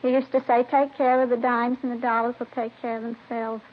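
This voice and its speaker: An actress playing a matronly, middle-aged widowed neighbor in a 1950s radio drama, rambling in a folksy, chatty way. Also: female voice, fairly high pitch, level tone, a little fast, close to an everyday voice. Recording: dull old radio recording with hiss.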